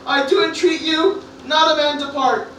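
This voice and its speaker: A heavily echoing, distant amateur radio play recording. A woman plays an anxious teenage boy, bright and very high, earnestly orating like a Shakespearean assassin pleading his cause. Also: steady tone, normal speed. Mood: solemn. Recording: very echoey, distant room, faint noise.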